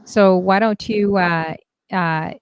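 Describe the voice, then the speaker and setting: A woman hosting, asking a guest to introduce herself in halting, restarting sentences. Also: medium pitch, fairly even intonation, smooth, no echo. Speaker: host. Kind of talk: interview questions.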